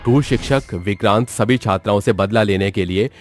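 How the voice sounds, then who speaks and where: A man's voice: on the low side, fairly quick. A man narrating a scripted horror story in a dramatic storytelling style.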